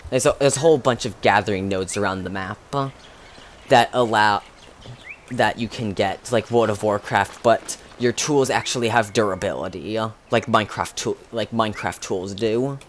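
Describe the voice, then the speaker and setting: A man's voice: on the low side, moderate pace, slight background noise. A young man streaming a game, rambling casually with many restarts and fillers. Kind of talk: casual livestream commentary.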